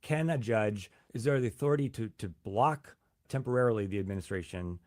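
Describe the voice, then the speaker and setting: A man's voice: on the low side, fairly quick, a little mellow, dry recording, clean studio sound. A male reporter explaining a story in a news interview, often repeating words as he starts a sentence.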